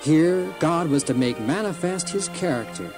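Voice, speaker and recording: Higher-pitched male storybook narrator over music. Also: lively intonation, moderate pace. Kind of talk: solemn scripted storybook narration. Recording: music bed behind the voice.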